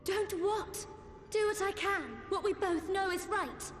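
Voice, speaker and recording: A woman's voice: very high-pitched, fairly even intonation, unhurried. A woman playing an earnest, hesitant but resolute teenage girl in a fantasy video game cutscene. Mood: pleading, resolute. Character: earnest teenage girl, a young seer's ward in a fantasy world. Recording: echoey hall reverb, music behind. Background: faint music.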